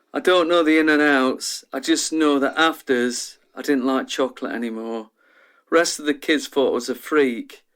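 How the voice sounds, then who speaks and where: A man's voice: mid-range voice, fairly bright tone, close to an everyday voice. An actor playing a troubled, self-deprecating young male patient in a radio drama, talking in a downbeat, matter-of-fact, colloquial way. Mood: resigned. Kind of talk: radio drama monologue, interview-like.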